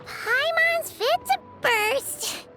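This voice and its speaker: A woman voicing a tiny, childlike, food-loving fairy companion in an animated game, with a squeaky, exuberant delivery. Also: very slow, faint music behind, exaggerated voice. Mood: cheerful. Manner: speaks of self in third person, exuberant.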